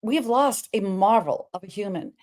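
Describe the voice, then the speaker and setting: Energetic woman host with a big voice. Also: medium pitch, normal speed. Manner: energetic, emphatic, wandering between topics. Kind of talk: personal talk-show monologue.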